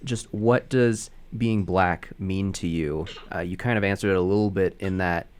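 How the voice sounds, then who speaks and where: Man radio host, lowish smooth voice, laid-back questions. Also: moderate pace. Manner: relaxed, easygoing questions. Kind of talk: radio interview. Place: radio studio.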